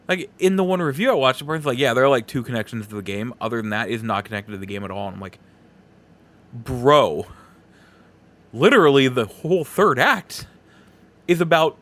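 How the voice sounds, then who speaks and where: Casting: male podcast host for a solo film review, informal and chatty, medium-pitched voice, prone to rambling asides. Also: expressive, normal speed, a bit bright. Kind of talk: casual solo movie review talk.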